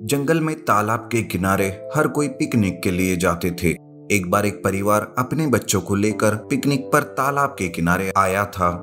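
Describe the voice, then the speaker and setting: A male narrator tells a scripted children's tale in a fairly low voice. Music plays clearly beneath his storytelling. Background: background music.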